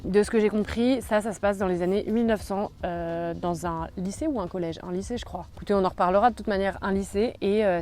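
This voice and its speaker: Young woman rambling fast in a book vlog, mellow tone, faint background noise. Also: mid-range voice. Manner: chatty, rambling, many fillers. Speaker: book YouTuber. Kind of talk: casual vlog chat.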